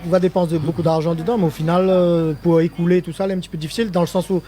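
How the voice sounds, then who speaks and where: A man's voice: on the high side, flat delivery, rapid-fire, warm tone, slight background noise. An older man from Réunion speaking French with a Creole-inflected accent, a musician guest in a casual live interview who rambles, restarts and laughs often.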